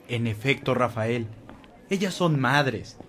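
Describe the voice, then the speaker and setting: Earnest mid-pitched male companion. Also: expressive, takes it slowly, a bit bright, slight background noise. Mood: agreeing. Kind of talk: radio drama acting.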